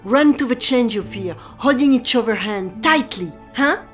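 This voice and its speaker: An older French café proprietress in an audio drama, pitched fairly high over a faint musical bed. She is wry and motherly, speaking with theatrical flourish and coaxing authority. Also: lively intonation, measured pace, faint music behind. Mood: tender, earnest.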